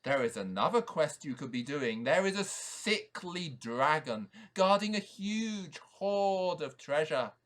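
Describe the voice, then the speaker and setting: A man's voice: on the high side, lively intonation, slow, hardly any pauses, fairly bright tone. A man voicing a sly fairy-tale goblin who coaxes and tempts in a persuasive way. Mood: coaxing. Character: tempting goblin, sly dissuader of brave knights.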